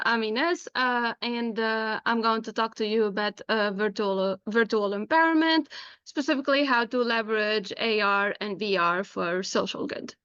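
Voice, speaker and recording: A woman's voice: fairly high pitch, a bit bright, smooth, no echo, lo-fi sound. A woman from Tunisia, giving an informal online presentation as a guest speaker. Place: indoors, online video call.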